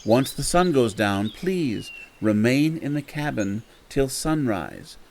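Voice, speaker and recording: Horror audio drama, haunted-inn host, courteous yet menacing, mid-pitched and very animated. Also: male voice, slow. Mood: ominous. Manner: formal, theatrical, ominously polite. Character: courteous, sinister male host of a haunted bed and breakfast.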